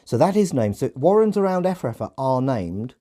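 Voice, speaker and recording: A man's voice: mid-range voice, very animated, normal speed, warm tone. A man hosting a podcast in casual discussion, reflective and often correcting himself as he goes. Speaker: podcast host.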